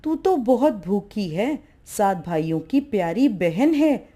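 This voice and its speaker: A woman's voice: mid-range voice, sing-song, slow, warm tone. A woman voicing an imperious mother goddess in a folk tale, with a chanting, commanding manner. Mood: stern, teasing.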